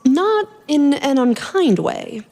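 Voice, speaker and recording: A woman reading fan fiction aloud as a podcast host, in an expressive storytelling style. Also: high voice, takes it slowly, big-room sound.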